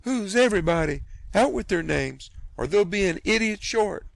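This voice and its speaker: A man voicing an indignant, sharp-tongued middle-aged farm woman who scolds in a shrill, drawn-out way. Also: animated, creaky, no echo. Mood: scolding.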